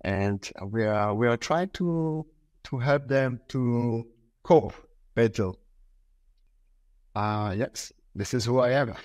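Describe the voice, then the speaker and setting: A man in his forties with an Israeli accent, a native Hebrew speaker, talking as an interview guest in a halting, sincere way. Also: medium pitch, a little mellow, no echo. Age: 40s. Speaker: podcast guest, psychotherapist. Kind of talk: conversational interview. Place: small office, video call.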